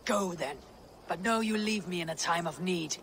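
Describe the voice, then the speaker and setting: Stern Viking warrior leader. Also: female voice, fairly low pitch, fairly bright tone, slight background noise. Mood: defiant. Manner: stern, measured, resolute. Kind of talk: video game dramatic acting.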